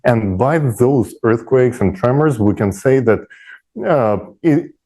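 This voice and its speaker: A man speaking unscripted in a panel discussion, haltingly, often repeating and restarting his words. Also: mid-range voice, dark tone.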